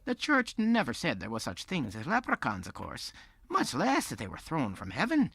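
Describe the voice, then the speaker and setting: A man playing a kindly old Irish storyteller, folksy and gentle, telling tales in an audio drama. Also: on the high side, wildly swooping pitch, moderate pace, breathy edge, no echo, heavily acted. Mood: kindly, playful.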